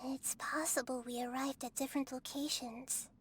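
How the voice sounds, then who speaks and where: A woman voicing a calm, wise young girl goddess in a video game, speaking softly and earnestly. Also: high voice, slight background noise. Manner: soft, measured, earnest.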